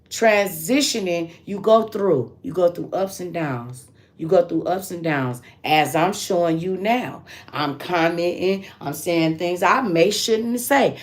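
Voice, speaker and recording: A raspy-voiced woman hosting a live stream, talking bluntly and preachily. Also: lowish voice. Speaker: livestream host.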